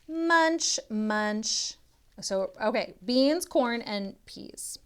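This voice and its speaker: A woman tutor reading a picture book aloud to children, expressively and instructively, drawing out some words. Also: a little high.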